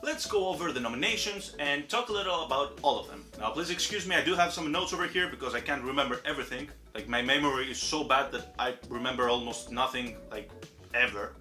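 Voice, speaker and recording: A young man from Greece with a Greek accent, a native Greek speaker, chatting casually and self-deprecatingly about game award nominees as a channel host. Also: fast. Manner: chatty and self-deprecating, animated swings in pitch. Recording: roomy echo with faint music behind.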